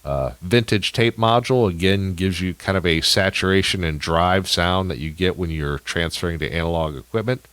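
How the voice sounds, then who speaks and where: A man's voice: low-pitched, normal speed, few pauses, a bit bright, clear, resonant tone, dry recording. A man hosting an audio tutorial, explaining things casually and step by step into a close microphone.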